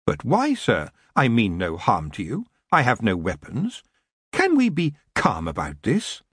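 A man voicing a calm, polite young man who stands firm in a storybook, measured and courteous. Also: mid-range voice, husky, no room sound, studio quality, heavily acted.

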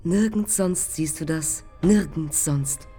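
Daring woman flyer, slightly low pitch, poetic radio play, clipped incantatory phrases, haunted, faint music behind. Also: takes it slowly, warm tone, husky, heavily acted. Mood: dreamy, precise. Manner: clipped fragments, incantatory repetition. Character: daring woman aviator of the 1920s, intense, resolute, haunted by death. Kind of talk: poetic radio drama acting. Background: music under the voice.

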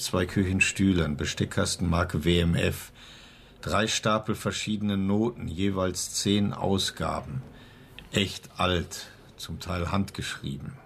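Businesslike police detective in a radio crime play, low gravelly voice, clipped and dry, faint noise. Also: male voice, a bit bright, full character voice. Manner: matter-of-fact, listing clipped items. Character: brisk, businesslike male police investigator, dryly sure of himself. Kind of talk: radio crime drama dialogue.

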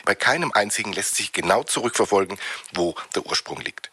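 A man's voice: lowish voice, animated, fairly quick, sharp tone, breathy edge, no room sound, exaggerated voice. A man playing a middle-aged, pedantic professor of German literature in a radio drama, lecturing hesitantly. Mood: didactic.

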